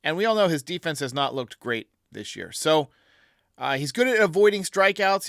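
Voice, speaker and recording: Man, sports show host, baseball commentary, bright punchy voice, slightly high pitch, dry sound. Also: no echo.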